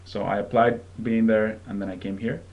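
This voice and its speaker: A young man from Venezuela in his twenties presents his college to prospective students in a friendly, chatty way. His voice sits on the low side, and he pauses often with ums. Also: flat delivery, muffled recording. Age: 20s. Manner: friendly, conversational, with frequent ums. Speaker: college admission fellow.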